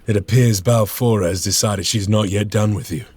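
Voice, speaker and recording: An actor playing a grim veteran space marine sergeant, brooding and stern, with a husky voice. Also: male voice, fairly low pitch, steady tone, normal speed, darkish tone. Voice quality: gravelly, husky. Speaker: sergeant narrator. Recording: game cutscene with music.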